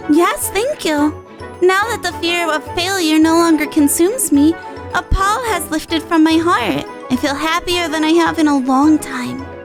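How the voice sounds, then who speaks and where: Woman doing a high-voiced, earnest little girl. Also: measured pace, over music. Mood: earnest, relieved. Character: earnest, gentle little girl, wise beyond her years. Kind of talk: reading game dialogue in character.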